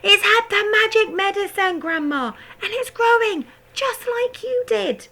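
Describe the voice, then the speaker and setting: A woman voicing an excited young boy in a storybook reading, shouting eagerly. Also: very high-pitched, measured pace, voice pushed up, exaggerated voice.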